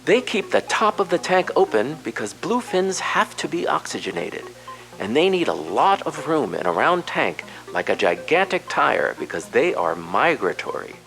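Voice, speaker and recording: Showy, authoritative male documentary announcer, very lively intonation, music underneath. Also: fairly high pitch, normal speed, vocal fry, heavily acted, rough recording. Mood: showy, informative. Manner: showy, emphatic. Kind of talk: scripted TV announcing in audio play.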